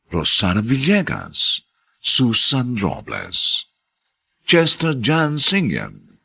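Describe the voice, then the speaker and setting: A man narrating and announcing a radio drama from a script, theatrical and solemn, with a husky voice. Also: low-pitched.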